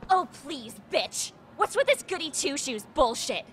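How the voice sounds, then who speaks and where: A woman's voice: high voice, very animated, a little slow, fairly bright tone, exaggerated voice. A woman voicing an abrasive, scornful teenage schoolgirl in a video game dub. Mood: irritated. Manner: scornful, brash. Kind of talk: English game dub dialogue.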